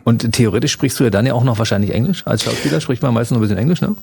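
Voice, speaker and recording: A man's voice: medium pitch, racing, vocal fry, a little mellow, breathy edge. A man with a Berlin accent, chatting casually with guests as the host of a radio talk show. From Berlin, Germany. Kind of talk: casual chat interview.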